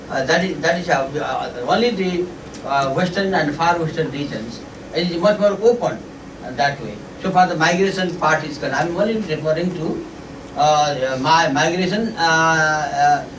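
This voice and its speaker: Nepali-accented man, halting, in a noisy echoing room. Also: fairly high pitch. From eastern Nepal. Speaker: panel discussant. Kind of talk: informal panel remarks. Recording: echoey room, distant mic, clear noise.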